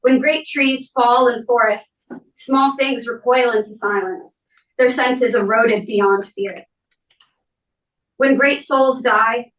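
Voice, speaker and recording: A woman speaking at a memorial, reading and talking in measured, deliberate phrases with pauses. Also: fairly high pitch, a bit bright. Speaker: speaker giving a eulogy for their parent. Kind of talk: memorial speech and poem reading. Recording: roomy echo, distant mic.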